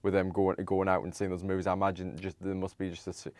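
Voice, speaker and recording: Guy hosting a podcast, lowish voice, lots of ums and restarts, dead dry sound. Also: completely flat delivery, darkish tone, dry recording. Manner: halting, many "um"s and stammered restarts. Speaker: podcast host. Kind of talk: casual interview conversation.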